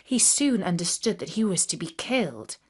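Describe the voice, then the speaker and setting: A woman narrating a scripted fairy tale for children in an expressive, deliberate storytelling manner. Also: mid-range voice, breathy edge.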